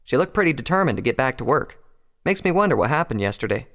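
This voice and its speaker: A man's voice: medium pitch, sing-song, quick pace, a bit bright, voice pushed up. A man voicing a plain-spoken, sober female country pony soldier with a blunt, folksy manner. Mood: matter-of-fact.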